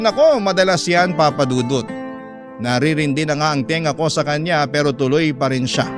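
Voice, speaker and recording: A man with a Filipino accent reading a first-person letter as an emotional story narration. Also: on the high side. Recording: loud guitar music behind voice. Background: guitar music.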